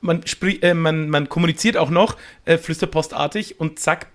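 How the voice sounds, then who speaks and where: A man's voice: fairly high pitch, fairly even intonation, normal speed. A man chatting casually and colloquially, with plenty of slang, as an improv player on a podcast. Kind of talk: casual chat.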